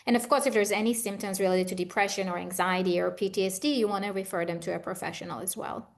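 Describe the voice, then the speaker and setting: A woman's voice: medium pitch, fairly even intonation, fast. A middle-aged woman from Israel with an Israeli accent, giving conversational, explanatory answers as an expert interview guest. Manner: explanatory, advising. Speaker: guest expert, trauma therapist.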